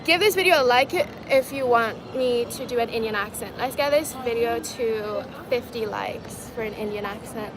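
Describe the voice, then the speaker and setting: Woman vlogger, higher voice, chatty live-stream delivery to viewers, outdoor city recording with traffic noise. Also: normal speed, few pauses. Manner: chatty, laughs easily, talks to viewers as "guys". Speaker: vlogger reading live chat. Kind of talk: casual livestream chat. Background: street and traffic noise.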